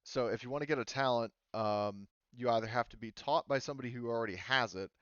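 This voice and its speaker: A man's voice: mid-range voice, fast, a bit bright, clear, resonant tone, no room sound, dull audio. A man acting as game master, casually explaining tabletop rules in a rambling, informal way.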